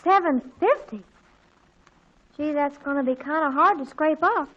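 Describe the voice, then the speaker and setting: Eager teen girl, high and bubbly, earnest; dull old radio sound. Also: a little slow. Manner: earnest, excitable, wheedling. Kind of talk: 1940s radio acting.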